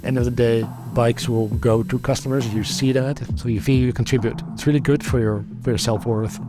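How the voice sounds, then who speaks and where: A man's voice: medium pitch, fairly even intonation, fairly quick, over music. A middle-aged man from the Netherlands with a Dutch accent, speaking as a company founder in a documentary interview, thoughtful and often restarting his sentences. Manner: thoughtful, many restarts and fillers.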